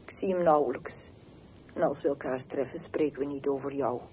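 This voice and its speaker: An actress playing a bitter, wounded middle-aged woman on the telephone in a radio play, terse and reproachful with deliberate pauses. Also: a bass voice, a little mellow. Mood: cold, guarded. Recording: noisy old radio drama recording.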